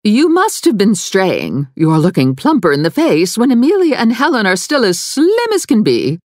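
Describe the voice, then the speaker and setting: A woman's voice: medium pitch, huge pitch swings, moderate pace, a little mellow, no echo, clean studio sound, voice pushed up. A woman voicing a regal, haughty middle-aged society mother who disapproves, in a storybook reading. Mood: disapproving. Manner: haughty, scrutinizing.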